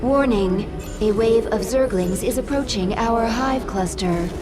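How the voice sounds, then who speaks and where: A woman's voice: on the high side, over loud music, lightly acted. Woman as composed, dutiful alien adviser. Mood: urgent warning. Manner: formal, composed, deliberate. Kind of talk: video game announcements and dialogue.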